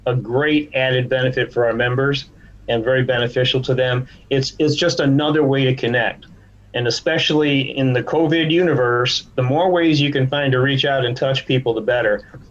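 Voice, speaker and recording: Man in a dull-sounding podcast interview. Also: medium pitch. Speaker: podcast guest, trade association chief executive. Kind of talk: conversational interview talk.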